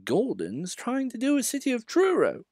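A man voicing a kind, cheerful older male engine with a high, gentle, soothing voice. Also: wildly swooping pitch, normal speed, no echo, studio quality, heavily acted.

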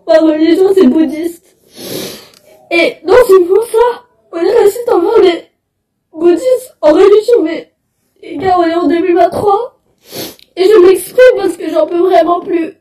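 A teenage girl, crying and halting as she tells her story to a phone camera indoors. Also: extremely high pitch, warm tone, roomy sound, recorded from a distance, low-quality recording. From Belgium. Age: teens. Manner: tearful, halting, upset. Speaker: student. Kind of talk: selfie video testimony. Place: indoors, small room. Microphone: phone held close, front camera.

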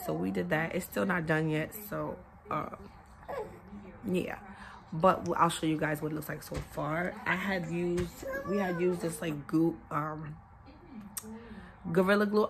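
A woman in her thirties chatting casually to her phone camera at home, congested and raspy-voiced. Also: fairly low pitch. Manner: chatty, loose and rambling. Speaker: vlogger. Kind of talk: casual vlog chat. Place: home, indoors. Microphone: phone camera at arm's length.

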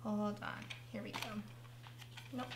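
A woman's voice: mid-range voice, fairly even intonation, measured pace, echoey room, very noisy. A woman hosting a casual craft tutorial, thinking aloud as she works, and losing her voice. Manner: chatty, thinking aloud while working.

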